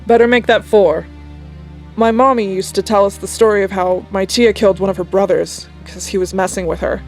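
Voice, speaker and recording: A woman's voice: medium pitch, steady tone, a little fast, a little mellow, natural voice. A woman playing a frank, firm young teenager in an audio drama. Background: music behind the voice.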